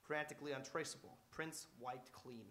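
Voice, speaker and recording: A man's voice: mid-range voice, moderate pace, pushed and bright, lightly acted. A man playing a long-winded, good-natured police sergeant in a live radio drama, speaking with pompous formality. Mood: earnest, officious. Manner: verbose, pompously formal wording.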